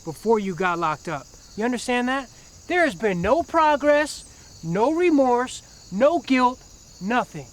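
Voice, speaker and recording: A man's voice: very high-pitched, big pitch swings, unhurried, exaggerated voice. An actor playing a righteous young man who speaks in an accusing, cutting way. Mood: bitter. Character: righteous young man named Adam, bitter.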